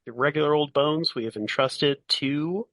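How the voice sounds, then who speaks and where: A man's voice: on the high side, moderate pace, fairly bright tone, dry recording. A man running a tabletop game as game master, narrating in a casual, playful, conversational way.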